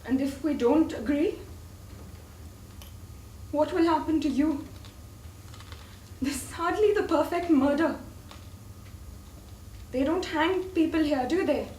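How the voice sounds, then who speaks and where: A woman's voice: high voice, slow pace, pauses often, mellow. A woman acting in a stage play as a proud widowed mother, a defiant and pointed rival in love. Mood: challenging. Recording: echoey, distant stage recording with faint noise.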